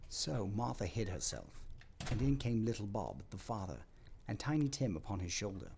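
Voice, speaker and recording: A man narrating a scripted audio play as storyteller, in a dramatic, expressive manner. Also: fairly low pitch, normal speed, gravelly, slight background noise.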